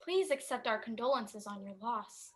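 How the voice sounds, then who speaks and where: A woman's voice: on the high side, lively intonation, moderate pace, fairly bright tone. A woman acting in a radio play as a genteel, prim charity collector with a formal, flowery way of talking. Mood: solemn, polite. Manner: formal, flowery, elaborate phrasing.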